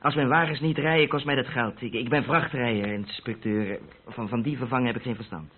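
An actor playing a defensive, flustered haulage boss in a radio drama, halting and stammering as he justifies himself. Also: mid-range voice, fairly even intonation, slight background noise, lightly acted. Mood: defensive, agitated. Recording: muffled narrow-band old radio recording.

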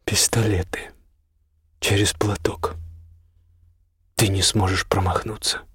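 A man's voice: on the low side, steady tone, moderate pace, breathy edge, no room sound, clean studio sound. A man voicing a firm, frank marquis in an audiobook, speaking sternly and insistently as a concerned friend.

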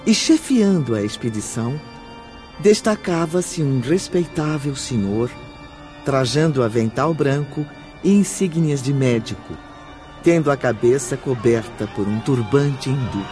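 A Brazilian man narrating a spiritual radio drama solemnly, with measured dramatic pauses. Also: mid-range voice, expressive, warm tone, natural voice. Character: solemn male storyteller of a spiritual tale. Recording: music bed behind the voice.

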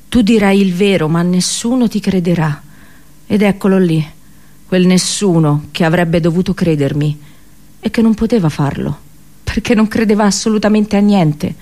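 An actress playing an accursed princess and prophetess in a radio drama monologue, with a solemn, intense storytelling delivery. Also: female voice, on the low side, mellow, close to an everyday voice. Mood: grave, bitter. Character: Cassandra, bitter accursed Trojan princess and prophetess. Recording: voice over background music. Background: music.

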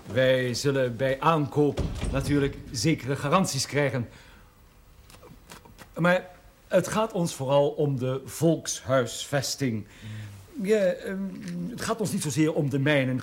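Formal, courteous company administrator, slightly high. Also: male voice. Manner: formal, polite, wordy, persuasive. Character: courteous, smooth-talking land company administrator on business. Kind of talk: naturalistic period television drama acting. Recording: faintly noisy old television soundtrack.

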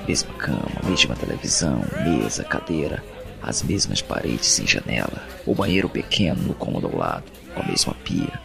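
A man with a Brazilian Portuguese accent playing an anguished captive in an audio drama, narrating his imprisonment in a brooding, deliberate monologue. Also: rumbling low, sing-song, creaky, full character voice. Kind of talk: dramatic first-person monologue. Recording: music bed under the voice.

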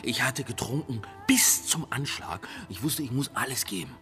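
An actor in a radio play playing a crude, jovial politician who rambles and boasts. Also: male voice, mid-range voice, animated, moderate pace, sharp tone. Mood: boastful, rambling. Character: crude, chatty male politician boasting of boyhood games. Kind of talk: naturalistic radio drama acting.

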